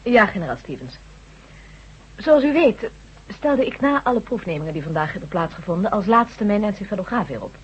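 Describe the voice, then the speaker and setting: An actress playing a composed doctor reporting measurements in a matter-of-fact way in a radio drama. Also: female voice, medium pitch, big pitch swings, brisk, slightly rough. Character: Doctor Rayner, composed research physician. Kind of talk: 1970s radio drama acting. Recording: dull lo-fi radio recording.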